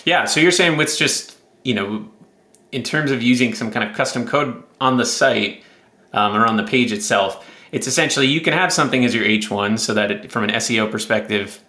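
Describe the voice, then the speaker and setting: A man's voice: mid-range voice, brisk, pushed and bright. A man hosting a show with casual expert commentary, in a roomy, echoey recording. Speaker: host.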